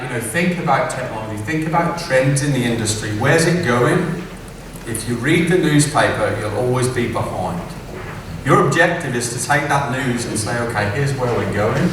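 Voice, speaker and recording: A man's voice: medium pitch, fairly bright tone. A middle-aged man from England with an English accent, giving a conversational keynote talk at a conference and often asking "right?". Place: large conference hall. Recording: echoey distant hall recording with background noise.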